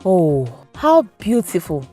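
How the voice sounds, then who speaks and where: Nigerian female storyteller, low register, scripted folktale narration over soft music. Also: big pitch swings, takes it slowly.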